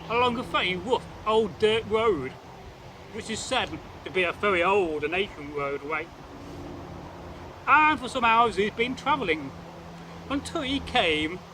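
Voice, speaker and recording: Male storyteller, high-pitched voice, deliberate old-fashioned phrasing, repeats words for weight, clear outdoor background noise. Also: sharp tone, poor audio. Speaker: channel host and storyteller. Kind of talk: folk tale storytelling to camera.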